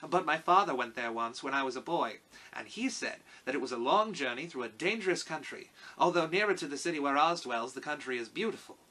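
A man's voice: mid-range voice, animated, brisk, sharp tone, poor audio. A man voicing a loyal tin man in a storybook, speaking earnestly and reassuringly.

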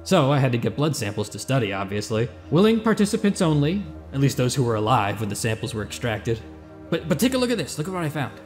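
Actor as glib, excitable mad inventor, mid-pitched. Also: male voice, fast talker, soft background music, lightly acted. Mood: showy, eager. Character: eccentric thirty-five-year-old male inventor, mad scientist, boastful. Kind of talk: audio drama dialogue.

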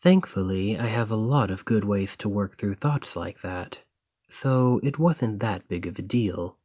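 A man playing a devoted, gentle boyfriend in a romantic ASMR roleplay, soft and husky, with a tender, reassuring manner. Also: on the low side, unhurried, no room sound, studio quality. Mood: gentle, confiding.

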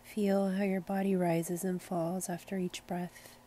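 A woman leading a guided meditation in a gentle, soothing, unhurried manner. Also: lowish voice, level tone, a little hiss or hum. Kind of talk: slow guided meditation narration.

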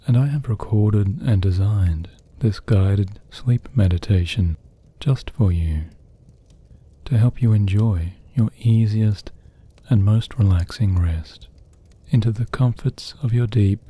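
A man with a lowish, very mellow and dark voice guides a sleep meditation. His tone is husky and breathy, hushed and soothing. He delivers each phrase gently and leaves long pauses. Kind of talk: guided sleep meditation, slow scripted narration.

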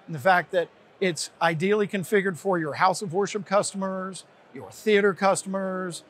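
High-pitched, husky older American man. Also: fairly bright tone. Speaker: product presenter, company representative. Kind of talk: unscripted product demonstration to camera. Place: large indoor trade show hall, at a booth.

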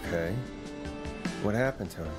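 An actor playing a jaded late-night radio host in a video game, with a wry, exasperated manner. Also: male voice, deep voice, lively intonation, normal speed. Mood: questioning. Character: jaded fallen big-city radio DJ, dry and weary. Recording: music playing behind the voice.

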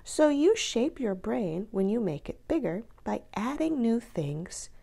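A woman reading a children's book aloud in an expressive, deliberate way, drawing out key words for emphasis. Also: fairly high pitch, creaky.

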